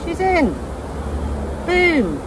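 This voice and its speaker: A woman's voice: very high-pitched, very animated, extremely slow. An older woman beekeeper outdoors in a garden, chatting as she explains each step of her work to the camera. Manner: delighted, exclaiming. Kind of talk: hands-on demonstration vlog. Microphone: camera close by, wearing a bee veil. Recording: outdoor noise with buzzing bees.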